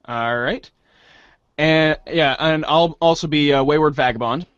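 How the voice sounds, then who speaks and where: A man casually hosting a live-streamed reader's theater, talking in a halting, hesitant way. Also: a little high, fairly bright tone, clean tone, no echo. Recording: dull, dry lo-fi mic.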